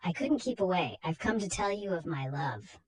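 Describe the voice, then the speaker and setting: A woman's voice: lowish voice, animated, moderate pace, lightly acted, low-quality recording. A woman voicing a menacing, melodramatic male vampire, a lovesick and vengeful stranger in a horror comic story.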